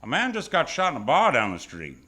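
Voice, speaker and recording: A man's voice: medium pitch, wildly swooping pitch, fairly quick, sharp tone, a little hiss or hum. A man acting a wry, hardboiled private detective who narrates his case in old-time radio noir style on stage. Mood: probing, wry. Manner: wisecracking, tough, world-weary slang. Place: stage of live radio theatre.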